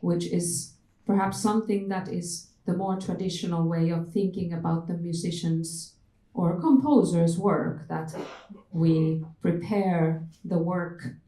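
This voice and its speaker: Slow, mellow woman moderating a discussion. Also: on the low side, steady tone. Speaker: panel moderator. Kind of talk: thoughtful panel discussion, hesitant questioning. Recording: echoey room.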